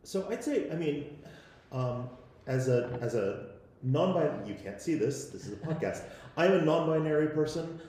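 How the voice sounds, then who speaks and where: A man speaking off the cuff on a panel, conversational and halting, often repeating himself. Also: fairly high pitch, lively intonation, a little mellow. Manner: halting, conversational, frequent repetition. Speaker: panelist from a podcast team. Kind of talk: unscripted panel discussion. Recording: very echoey room.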